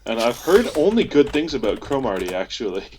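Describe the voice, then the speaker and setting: A man's voice: mid-range voice, very animated, brisk, a little mellow. A young man, chatting casually as a podcast co-host. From Canada. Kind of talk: casual group chat. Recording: faint noise, keyboard clicks.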